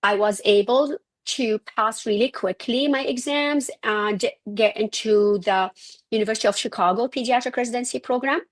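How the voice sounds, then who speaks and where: A woman's voice: on the high side, moderate pace. A middle-aged woman from Syria with an Arabic accent, a physician telling her personal story in an interview, earnest and restarting her sentences often. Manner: earnest, with frequent restarts and self-corrections. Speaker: guest, physician.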